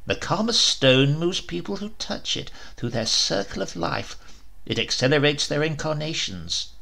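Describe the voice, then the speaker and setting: A man's voice: on the high side, lively intonation, normal speed, gravelly, bright, forceful tone, exaggerated voice. A man voicing a stern, noble old king who scolds and mocks his spoiled son in a storybook reading. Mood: stern, lecturing.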